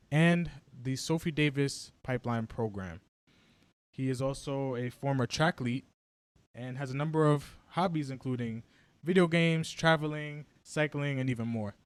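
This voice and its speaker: A man's voice: mid-range voice, dry recording. A man hosting a podcast interview, introducing his guest with enthusiasm and an easy, friendly laugh. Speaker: podcast host.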